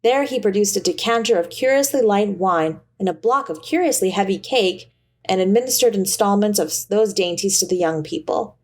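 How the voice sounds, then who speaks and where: Woman reading a bedtime storybook, mid pitch, expressive narrator, bit theatrical. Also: normal speed. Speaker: audiobook narrator.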